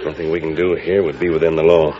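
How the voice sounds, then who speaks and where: A man playing a principled, measured, authoritative western hero in 1940s radio style. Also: a bass voice, little pitch movement, a crowd in the background. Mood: resolute. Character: commanding, principled masked cowboy hero. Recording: muffled old radio broadcast, music behind.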